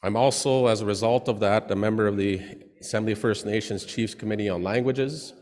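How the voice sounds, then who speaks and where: A man who is a chief, giving a formal presentation to an assembly in a loud voice with many pauses. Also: fairly low pitch. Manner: loud, pausing often. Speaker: chief and languages portfolio holder. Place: large assembly hall. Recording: long hall reverb.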